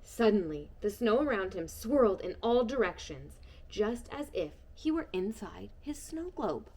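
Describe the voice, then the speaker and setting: A woman reading a children's picture book aloud with a lively, sing-song voice. She moves between hushed moments and brighter, bigger lines, keeping her pitch in a middle register. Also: a little slow. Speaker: storybook reader.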